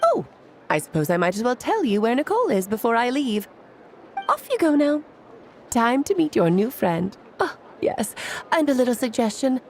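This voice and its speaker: A woman's voice: on the high side, sing-song, moderate pace, a little hiss or hum. An actress playing a playful, self-assured, motherly great mage in a video game, breezy and theatrical, given to lecturing. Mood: playful, teasing. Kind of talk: scripted video game character dialogue.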